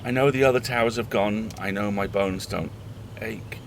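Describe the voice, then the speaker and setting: A man playing a weary but defiant radio host in an audio horror drama, speaking gravely and deliberately. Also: lowish voice, slight background noise, natural voice. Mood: weary, reflective.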